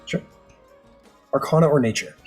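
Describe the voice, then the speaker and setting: A man's voice: moderate pace, a little mellow. A man improvising narration as the game master of a tabletop role-playing game. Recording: music playing under the voice. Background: background music.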